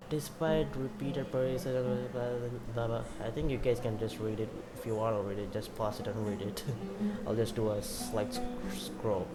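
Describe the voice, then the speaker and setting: A man's voice: medium pitch, flat delivery, moderate pace, few pauses, low-quality recording. A man giving offhand, casual gameplay commentary with game music behind him. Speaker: gamer commenting on playthrough.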